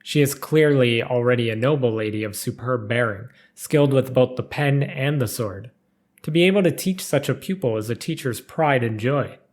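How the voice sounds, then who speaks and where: A man reads the part of an admiring tutor to nobility. The words are formal and measured, as in a storybook. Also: mid-range voice, close to an everyday voice. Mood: proud, admiring.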